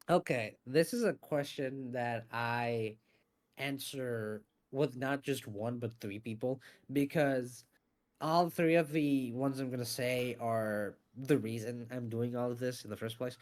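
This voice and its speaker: A man being interviewed about his voice acting and scriptwriting, talking in a casual, animated way with lots of fillers and some swearing. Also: medium pitch, slow. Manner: animated, swears freely, many fillers and restarts. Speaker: interview guest, voice actor and scriptwriter.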